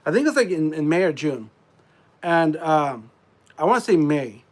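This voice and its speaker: Man, somewhat high voice, congested, rambling casual stream chat, clears throat a lot. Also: very animated, normal speed. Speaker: live streamer.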